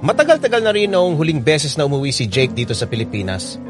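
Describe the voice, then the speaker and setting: A man's voice: fairly high pitch, sing-song, quick pace. A man narrating a listener's letter as a scripted, first-person radio drama story. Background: music bed.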